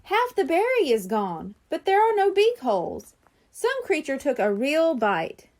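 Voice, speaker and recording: A woman's voice: high-pitched, huge pitch swings, measured pace, darkish tone, pitched above the performer's own voice, full character voice. A woman voicing an observant, playful young girl in a children's storybook, explaining things in a matter-of-fact way.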